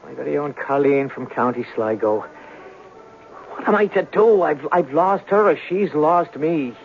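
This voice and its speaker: Excitable young Irishman, dull radio sound. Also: on the high side, unhurried, creaky. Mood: mournful. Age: young adult. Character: lovelorn, excitable young Irish man, mournful and dramatic. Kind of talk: radio drama acting. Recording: dull, noisy old radio broadcast.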